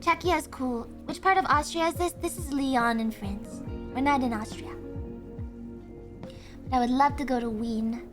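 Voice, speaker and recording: A woman streamer chatting casually and thinking aloud, excitable, with frequent gasps and laughs. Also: sits high, unhurried, long pauses, music in the background. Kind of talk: casual livestream chat.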